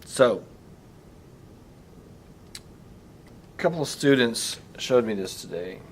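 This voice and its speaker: A middle-aged man talking casually and reflectively to camera, halting and earnest as he thinks out loud. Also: mid-range voice, expressive, a little hiss or hum. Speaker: vlogger and teacher.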